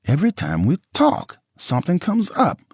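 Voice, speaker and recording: A man's voice: fairly high pitch, moderate pace, gravelly, slightly rough, dry recording, studio quality, pitched above the performer's own voice, full character voice. Man doing a blunt, fed-up ex-husband. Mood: weary. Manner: blunt, exasperated, raised and lively. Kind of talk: audiobook character dialogue.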